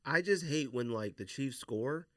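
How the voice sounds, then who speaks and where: A man's voice: medium pitch, expressive, measured pace, a bit bright, no room sound, clean studio sound. A man chatting casually about sports on a podcast panel in a slangy, conversational way.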